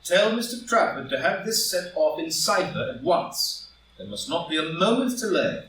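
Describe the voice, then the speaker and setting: A man's voice: sits high, expressive, moderate pace, a bit bright. A man playing a proud, anxious married statesman who fears scandal, earnest and melodramatic, in a stage drama reading. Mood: determined, urgent. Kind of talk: live read-through of a stage play as audio drama. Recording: very echoey room, distant mic, faint noise.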